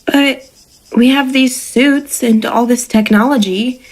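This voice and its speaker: High-voiced, naive, tender rancher. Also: female voice, fairly even intonation, slow pace, rough recording. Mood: mournful, earnest. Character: naive, tender-hearted, earnest young rancher. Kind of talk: stage-style audio drama acting.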